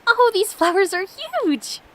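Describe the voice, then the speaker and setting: A woman voicing a curious, innocent, excitable young girl in a storybook game, wondering and exclaiming. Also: extremely high pitch, measured pace, pitched above the performer's own voice, full character voice. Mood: delighted.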